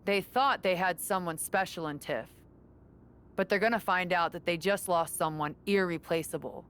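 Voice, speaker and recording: A woman's voice: on the low side, normal speed, a bit bright, clean tone, natural voice. A woman playing a confident, encouraging wrestler, speaking assured in a video game cutscene. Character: confident, encouraging veteran female wrestler rallying allies.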